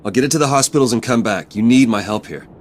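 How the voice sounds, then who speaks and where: A mid-pitched young male voice over faint noise, a man acting a loyal, cocky vigilante sidekick in a video game. He speaks up earnestly and pushes back, keen to prove himself. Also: quick pace, close to an everyday voice.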